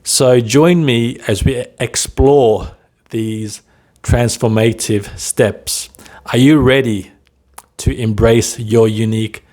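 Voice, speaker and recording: A man who works as a stutter coach, talking to camera at a slow, deliberate pace with frequent pauses and "right?" tags. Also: medium pitch, a little mellow. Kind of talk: motivational talk to camera.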